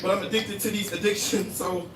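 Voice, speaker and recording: A man's voice: high-pitched, flat delivery, fairly quick. A man performing a spoken word poem at a slam, with a rhythmic, intense delivery full of wordplay, recorded live at an indoor venue. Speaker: slam poet. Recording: roomy, distant live venue sound with noise.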